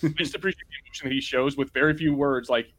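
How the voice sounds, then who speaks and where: A man with a mid-pitched voice talks as a podcast panelist. He chats casually like a keen fan, working through his points with plenty of "like.". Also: fairly even intonation, racing, fairly bright tone, low-quality recording. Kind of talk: casual film watch-party chat.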